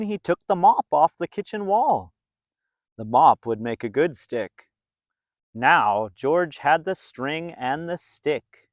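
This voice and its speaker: A man narrating a children's storybook in an expressive, deliberate way, drawing out phrases for young listeners. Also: fairly high pitch, no room sound, clean studio sound. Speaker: storybook reader.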